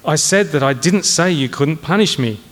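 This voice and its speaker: A man's voice: on the high side, animated, moderate pace, voice pushed up, close to an everyday voice. A nervous young cadet, voiced by a male narrator, protests meekly and politely in a comic military tale. He is apologetic and earnest. A long hall reverb hangs on the voice. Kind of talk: comic dramatised audiobook reading.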